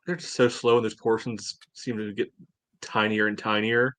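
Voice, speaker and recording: A man in his forties with a fairly low voice, chatting casually on a fan podcast. He talks in a hesitant, rambling way, and the sound is very dry, with no room on it. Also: little pitch movement, normal speed, fairly bright tone, no room sound. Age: 40s. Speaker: soccer fan podcast co-host. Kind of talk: casual chat.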